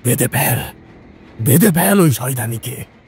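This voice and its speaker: Man acting a slow, vengeful commanding uncle. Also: medium pitch, expressive, darkish tone, breathy edge. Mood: furious orders. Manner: commanding, deliberate. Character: stern, vengeful uncle giving brutal orders. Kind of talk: audio horror story acting.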